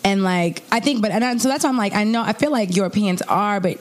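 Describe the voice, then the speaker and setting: A woman co-hosting a podcast, animated and excitable in casual chat, laughing often. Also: mid-range voice, fast talker. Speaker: podcast co-host.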